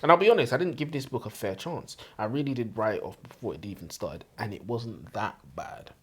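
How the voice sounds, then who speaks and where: Male book podcaster, very animated intonation, a touch husky, blunt casual opinions. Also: on the low side, brisk. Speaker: book review podcast co-host.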